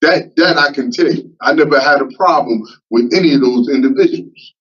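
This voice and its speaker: A man in his fifties at a parole hearing, speaking haltingly with many fillers and repeats. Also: medium pitch, fairly bright tone, husky. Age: 50s. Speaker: parole applicant. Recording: muffled distant remote-call audio.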